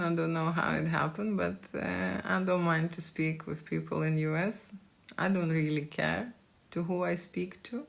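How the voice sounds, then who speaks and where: A middle-aged woman with a Lithuanian accent speaks slowly on a live stream, her low voice thick with gravelly fry. She tags nearly every thought with a questioning "okay?" as she talks to her viewers. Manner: frequent "okay?" tag questions. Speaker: boudoir photographer. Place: studio in London.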